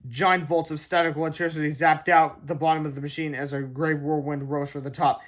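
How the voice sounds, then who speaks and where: A man reads a children's book aloud. His voice is clearly high for a man, and the delivery is scripted storybook narration. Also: level tone, a little fast. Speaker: storybook reader.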